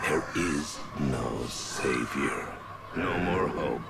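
An actor playing a menacing, coldly sinister villain in a video game, speaking in ominous, deliberate monologue. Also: male voice, extremely low pitch, gravelly, crowd noise, heavily acted. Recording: loud music over the voice.

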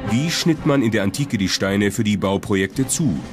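Male documentary narrator, lower register, clear music bed underneath. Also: animated, moderate pace.

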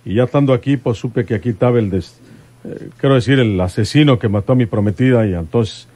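Radio serial: male actor as vengeful rural Mexican lawman, deferential but stubborn, countryside speech, soft-edged warm tone. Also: medium pitch, fairly quick, lightly acted. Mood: aggrieved, insistent. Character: plain-spoken, vengeful rural detective from the countryside. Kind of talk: radio drama acting.

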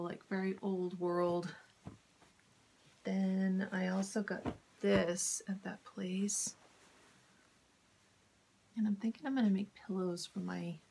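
A woman casually showing fabric in her home sewing studio, her voice crackly from a cold, often dropping to a soft whisper. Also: on the low side, creaky, slightly rough. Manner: soft-spoken, drifts into whispers when admiring fabric. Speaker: sewing vlogger. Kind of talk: casual fabric haul chat.

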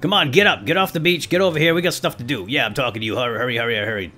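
A man hosting gameplay with excitable role-play commentary, playful and given to exclamations and quick bursts of repeated words. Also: mid-range voice. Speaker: gaming video host.

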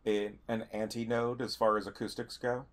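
A man playing a tabletop roleplaying game, improvising in character with careful, formal, slightly halting wording. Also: on the low side, little pitch movement.

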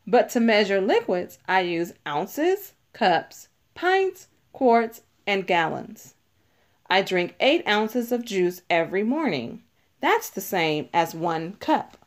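A woman narrates a children's picture book in a mid-pitched voice. Her delivery is unhurried and instructional, with very varied intonation. She places clear emphasis on the important words. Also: sing-song. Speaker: read-aloud narrator.

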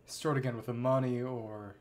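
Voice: medium pitch, steady tone, moderate pace, darkish tone. A game streamer giving casual commentary, thinking aloud and reading bits of dialogue in fragments. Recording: game music behind the voice. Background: video game music.

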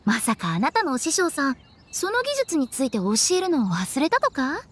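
A woman's voice: high voice, huge pitch swings, moderate pace, fairly bright tone, slight background noise, exaggerated voice. A woman playing a playful, shrewd young funeral director in an anime game, with teasing, sing-song, probing delivery. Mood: probing, suspicious. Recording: background music under voice.

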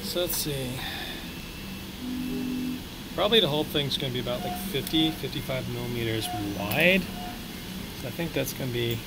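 A man's voice: mid-range voice, moderate pace, pauses often. Young man rambling on a noisy stream. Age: young adult. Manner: rambling, thinking aloud with many fillers. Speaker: streamer modeling a prop. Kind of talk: casual live-stream commentary while working. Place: small room at home, indoors.

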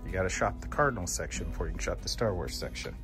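Man, low-ish voice, upbeat handheld vlog, chuckling. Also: expressive, very fast. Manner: upbeat, chuckling between remarks. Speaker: vlogger filming a store tour. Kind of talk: casual chat while walking. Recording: music playing loud in the store.

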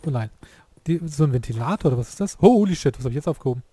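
A hoarse man giving casual, excitable commentary while playing a game, often exclaiming and swearing at what happens. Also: on the high side, rapid-fire. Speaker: let's play youtuber.

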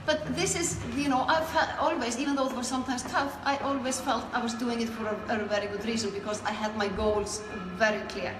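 A woman's voice: a little high, fairly even intonation, fairly quick, hardly any pauses. A middle-aged woman from Iceland with an Icelandic accent, telling their own story conversationally as an interview guest. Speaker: network marketer being interviewed. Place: small indoor room. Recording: echoey room, clear background noise.

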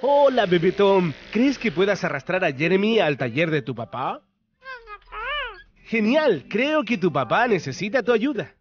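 A man's voice: sits high, very animated, a little slow, highs cut off, noisy background. A man narrating a preschool cartoon, talking to the characters with encouraging exclamations and questions.

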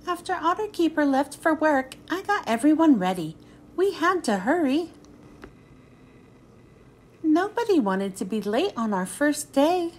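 A woman reading a children's picture book aloud in an expressive storytelling manner, then thanking her listeners. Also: high-pitched, a little slow, long pauses, a little hiss or hum.

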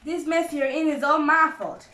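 A woman voicing a sly, confident, gallant father fox in a storybook, with assured, courtly and deliberate phrasing. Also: sits high, pushed and bright, echoey room, recorded from a distance, voice pushed up. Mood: calm, teasing.

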